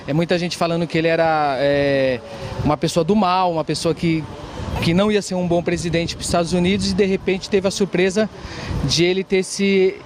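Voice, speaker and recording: A man's voice: high-pitched, fairly even intonation, a little fast. A Brazilian man answering a street interview hesitantly, outdoors on a city street. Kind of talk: vox pop street interview. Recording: street noise behind.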